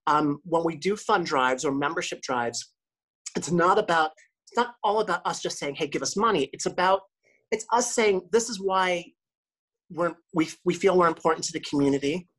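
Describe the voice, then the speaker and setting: A woman with a low, husky voice talks at length as a radio host on a podcast. She rambles cheerfully and often restarts her sentences as she tells her stories. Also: normal speed, rough recording. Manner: chatty, lots of restarts. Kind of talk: casual interview talk.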